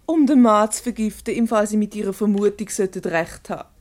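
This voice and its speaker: An actress playing an amused, quick-witted wife in a Swiss German radio comedy, teasing and ironic in manner. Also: female voice, mid-range voice, a little mellow, natural voice. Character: amused, quick-witted wife, unruffled by her flirting husband.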